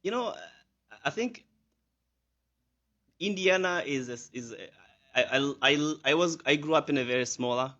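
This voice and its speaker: A man's voice: fairly high pitch, moderate pace, long pauses, bright, forceful tone, dull audio. A man in his thirties from Rwanda with a Rwandan accent, a physician answering radio interview questions with many fillers and restarts. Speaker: physician guest.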